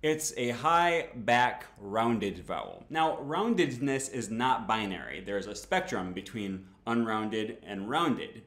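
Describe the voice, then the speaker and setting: A man in his thirties speaks at a moderate, middling pitch, teaching to camera with a clear, patient, explanatory manner. His voice carries a noticeable echo from the small home room he records in. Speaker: language teacher.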